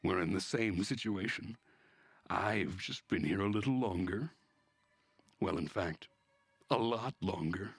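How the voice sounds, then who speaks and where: Man doing a smug, unhinged, husky young pioneer. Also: low-pitched, unhurried, long pauses, no room sound, soft background music, exaggerated voice. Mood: smug, taunting.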